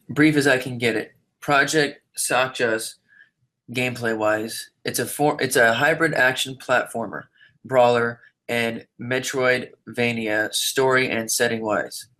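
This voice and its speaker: Measured man with a mid-range voice. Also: mid-range voice, bright, forceful tone, low-quality recording. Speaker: reader of an interviewee's typed answers. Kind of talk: reading written answers aloud in an interview.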